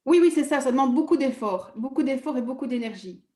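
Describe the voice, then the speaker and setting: A woman's voice: sits high, expressive, mellow, roomy sound. A woman speaking hesitantly in a therapy session, with frequent halts and self-conscious laughter. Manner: hesitant, halting, laughs at herself. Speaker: therapy client. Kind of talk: personal conversation.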